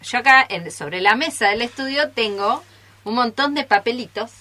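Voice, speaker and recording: Live radio theatre host: Argentine woman, bright forward tone, playful and loose delivery. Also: a little high, moderate pace. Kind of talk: casual live presenting. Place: radio studio.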